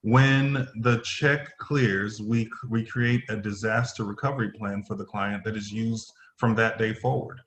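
A man playing a stern, formal middle-aged company executive in a play reading, halting and stumbling over his phrases. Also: fairly low pitch, fairly even intonation, fairly bright tone, a little hiss or hum. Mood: formal, explaining. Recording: roomy echoing voice.

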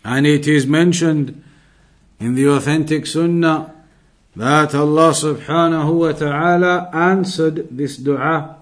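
A man giving an Islamic lesson, reading out Arabic passages and then explaining them in English in a deliberate, repetitive way. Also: fairly high pitch. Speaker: Islamic studies teacher reading and explaining a text.

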